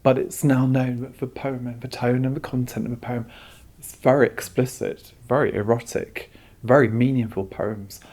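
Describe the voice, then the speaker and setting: A man explains his subject as an interview guest. His voice is slightly rough and husky, at an average pitch, and his delivery is emphatic, doubling back on words. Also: fairly even intonation, normal speed, a little mellow. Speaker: curator being interviewed.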